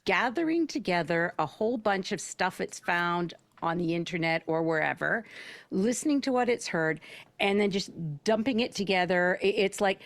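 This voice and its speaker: A woman's voice: medium pitch, expressive, moderate pace, creaky, no echo. A woman on a discussion panel speaking casually in a blunt, outspoken way.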